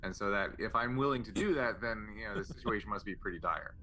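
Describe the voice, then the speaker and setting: Male tabletop player, slightly low but bright, forceful voice, casual deliberate reasoning with pauses, faint noise. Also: poor audio. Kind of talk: casual in-game chat, reasoning aloud.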